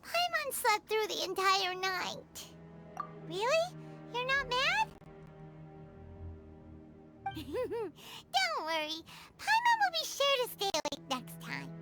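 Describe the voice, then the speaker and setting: A woman voicing a tiny, eager, childlike fairy companion in a video game, with a squeaky, perky voice. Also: huge pitch swings, slow pace, long pauses, over music, exaggerated voice. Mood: sheepish. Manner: refers to self in third person, perky. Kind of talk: scripted video game dialogue acting.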